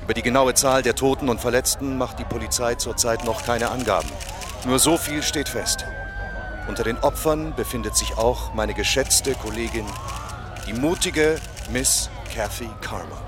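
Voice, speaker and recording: Animated, solemn newsman. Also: medium pitch, normal speed, background noise. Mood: solemn, dramatic. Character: solemn, theatrical male TV news reporter. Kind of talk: radio drama news report.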